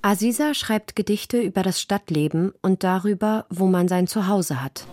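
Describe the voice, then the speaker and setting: A woman's voice: mid-range voice, moderate pace, darkish tone, a little hiss or hum. A woman reading scripted narration for a radio feature as its reporter.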